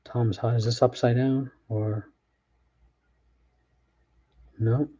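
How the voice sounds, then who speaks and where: A man's voice: medium pitch, little pitch movement, takes it slowly, warm tone, husky, lo-fi sound. A man hosting a sports card break, talking casually and thinking aloud as he opens packs. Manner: chatty, offhand, thinks aloud with restarts. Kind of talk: casual live commentary while opening packs.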